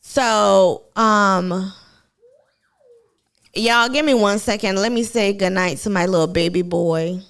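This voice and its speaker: Slow-talking Kenyan woman streamer. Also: medium pitch, fairly bright tone, clear, resonant tone. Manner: chatty, addresses viewers as y'all. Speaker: streamer reviewing a TV show. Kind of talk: casual live-stream chat.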